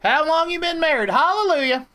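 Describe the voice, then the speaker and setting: Animated, bright-voiced man in his thirties. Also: extremely high pitch, a little fast. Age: 30s. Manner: probing, playful, teasing. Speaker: prank caller and interviewer. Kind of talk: casual teasing phone chat.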